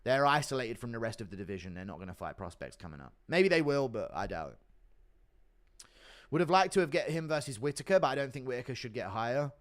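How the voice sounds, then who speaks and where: A male livestream host talks with chat and thanks donors in a blunt, offhand manner, swearing freely. His voice sits at a middle pitch and he speaks into a desk mic. The delivery is loose and unscripted. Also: big pitch swings, a little fast. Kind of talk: casual livestream chat reading donations.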